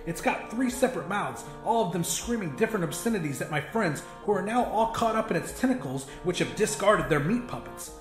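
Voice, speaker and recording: A man's voice: high voice, lively intonation, brisk, few pauses. A man reading a first-person horror story aloud with intense, theatrical delivery. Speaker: audiobook narrator. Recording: roomy echo with music behind. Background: music.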